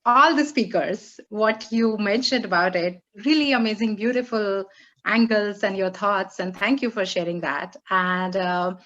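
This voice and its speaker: A woman moderating an online panel, speaking haltingly with many fillers as she sets up the next question. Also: mid-range voice, fairly bright tone, dull audio.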